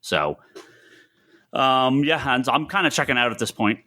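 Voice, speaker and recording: A man chatting casually as a podcast co-host, thinking aloud in a halting, rambling way. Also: mid-range voice, animated, sharp tone. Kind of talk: casual chat about comics and films.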